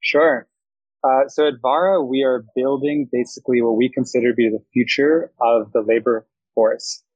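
A man's voice: mid-range voice, fairly even intonation, moderate pace, a little mellow, breathy edge, no room sound, dull audio. A young man from Thailand, a startup founder, giving fluent, reflective interview answers full of business terms.

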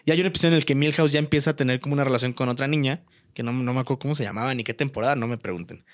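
A young man with a Mexican accent chats as a podcast co-host. His voice sits at a middle pitch. He speaks informally, using everyday slang. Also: very fast. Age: young adult. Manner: slangy, colloquial. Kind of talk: casual chat.

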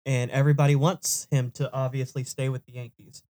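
A man's voice: mid-range voice, flat delivery, a little fast, darkish tone. A man co-hosting a faith talk show, speaking casually and mostly giving brief agreeing replies. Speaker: co-host.

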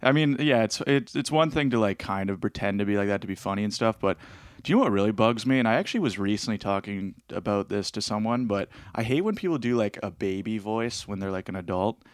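Low-voiced, laid-back man rambling. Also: animated, fast talker, no echo, studio quality. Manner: laid-back, rambling, full of "like" fillers, laughs often. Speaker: podcast co-host. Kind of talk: casual chat.

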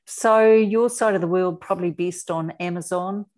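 A woman with a New Zealand accent speaking earnestly as an interview guest, deliberate and emphatic, repeating words for weight. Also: on the low side, fairly even intonation, a little mellow. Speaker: interview guest, author and abuse survivor advocate.

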